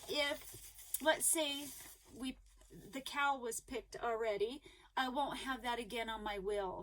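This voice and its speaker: A woman talking casually to her viewers as a crochet vlogger, rambling and upbeat with lots of ums and restarts, her voice a little hoarse. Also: high voice, a bit bright, low-quality recording. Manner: eager and upbeat. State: slightly hoarse, losing her voice.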